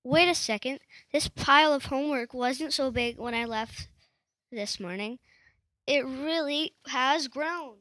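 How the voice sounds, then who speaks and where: Worried schoolboy played by a female amateur, highish voice, readers' theatre, close and dry. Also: a little slow, a bit bright, no echo. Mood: bewildered. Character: anxious schoolboy overwhelmed by homework.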